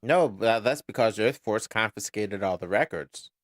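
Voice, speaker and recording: A man's voice: fairly low pitch, normal speed, no room sound, studio quality. A man co-hosting a podcast, chatting casually through an episode recap, often repeating words as he starts a thought. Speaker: podcast co-host.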